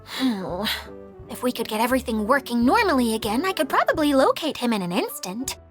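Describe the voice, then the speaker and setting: A woman's voice: fairly high pitch, sing-song, brisk, faint music behind. A woman voicing a sensitive, flustered mascot spirit in a game, formal but hesitant and correcting herself. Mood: flustered, wistful. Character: flustered, sensitive female mascot spirit trying to sound dignified. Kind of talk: animated game voice acting.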